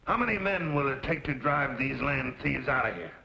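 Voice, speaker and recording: An actor playing a ruthless, domineering land baron in an old-time radio western, with a gravelly, forceful voice. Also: male voice, mid-range voice, lively intonation, moderate pace, exaggerated voice. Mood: scheming, urgent. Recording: dull, noisy old radio recording.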